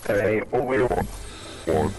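A man talking through a voice changer as a playful, odd gaming teammate, gravelly and hard to understand. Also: fairly low pitch, animated, slow pace, over music. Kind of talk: casual game chat. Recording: processed game chat with sounds behind.

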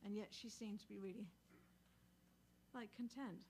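A woman's voice: medium pitch, fairly even intonation, moderate pace, darkish tone, a little hiss or hum, poor audio. A middle-aged woman speaking informally and conversationally, introducing a song to a small audience through a stage microphone. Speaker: singer-songwriter. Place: small cluttered bar stage, indoors. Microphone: microphone on a stand.

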